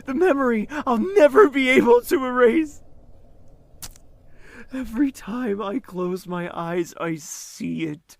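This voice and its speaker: A performer in an audio drama playing a traumatised young prince, pleading and despairing, with raw emotion and halting delivery. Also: medium pitch, animated, vocal fry, darkish tone, no room sound. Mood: anguished. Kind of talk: audio drama acting with inner monologue.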